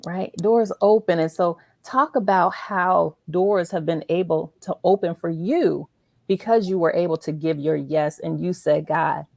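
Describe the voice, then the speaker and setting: A woman's voice: lowish voice, unhurried, gravelly, a little mellow, no echo. A woman hosting a faith-based interview, talking earnestly and encouragingly, with emphatic check-ins like a teacher making points. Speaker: show host interviewing an author.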